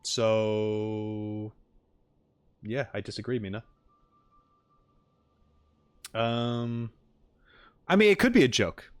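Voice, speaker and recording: A man's voice: lowish voice, slow, clear, resonant tone, no echo. A man hosting a casual reaction livestream, chatting with viewers in a rambling, playful way and often repeating his points. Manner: playful, jokey banter. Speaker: livestream host reacting to game content. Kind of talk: casual chat with live viewers.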